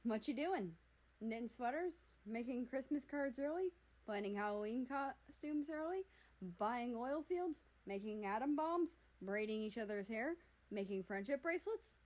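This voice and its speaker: A woman's voice: fairly high pitch, animated, unhurried, darkish tone, voice pushed up. A woman voicing a rude, teasing, sarcastic older brother in a story, rambling along.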